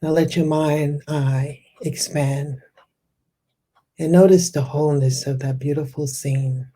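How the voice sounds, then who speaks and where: A meditation guide leading a guided visualization in a soft, soothing, unhurried way, lingering on descriptive words. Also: medium pitch, fairly even intonation, husky.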